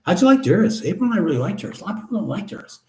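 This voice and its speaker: A middle-aged man hosting a casual live chat, reading viewer comments aloud and answering them in a relaxed, chatty way. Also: sits high, very fast. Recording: echoey room.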